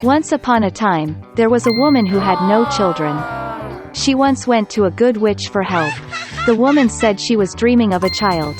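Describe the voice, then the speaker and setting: A woman reads a children's fairy tale in a calm, measured voice. Her pitch sits in the middle of the range. Music plays clearly behind her throughout. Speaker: storyteller. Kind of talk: scripted fairy-tale narration.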